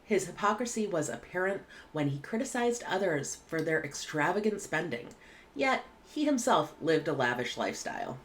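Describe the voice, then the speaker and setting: A woman, teaching English vocabulary in a clear, measured way with casual asides, recorded at home on a webcam. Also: low-pitched, very animated, slight background noise. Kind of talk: vocabulary lesson. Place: home room indoors.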